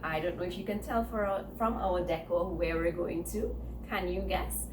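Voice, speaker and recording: A woman in her thirties introduces a guest, playful and animated, teasing the viewers with questions. The room echoes around her, and steady noise sits in the background. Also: fairly low pitch, normal speed. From Trinidad and Tobago. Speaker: co-host. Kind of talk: lively show introduction. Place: living room set, indoors.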